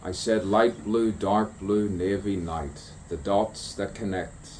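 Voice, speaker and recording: A man's voice: fairly low pitch, takes it slowly, darkish tone, a little hiss or hum. A man delivering a stream-of-consciousness spoken poem, musing and repeating rhyming phrases. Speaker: poet.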